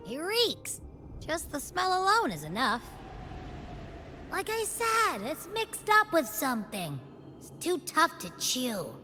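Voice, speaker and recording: A woman's voice: high-pitched, huge pitch swings, takes it slowly, pushed and bright, faint music behind, exaggerated voice. A woman voicing a small, cheeky, gluttonous spirit companion in a video game, playful and boastful.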